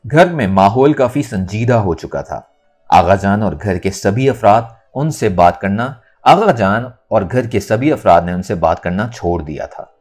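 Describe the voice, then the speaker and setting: A man's voice: fairly low pitch, animated, a little slow, darkish tone. A man narrating a dramatized Urdu novel expressively, acting out its dialogue. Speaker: audiobook narrator. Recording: music under the voice. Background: background music.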